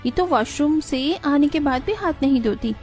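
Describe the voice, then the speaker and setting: A woman's voice: high-pitched, lively intonation, moderate pace, soft background music. A woman voicing a jealous, haughty rich restaurant owner in a moral cartoon, with a scolding, sneering manner. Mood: spiteful. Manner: scolding, sneering, sharp-tongued. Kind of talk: Hindi moral cartoon voice acting.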